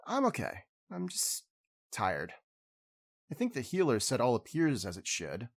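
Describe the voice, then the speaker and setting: An actor playing a proud alien hero with an expressive, declamatory way of talking. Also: male voice, mid-range voice, a little slow, vocal fry, exaggerated voice. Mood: relaxed. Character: proud alien hero giving a public speech.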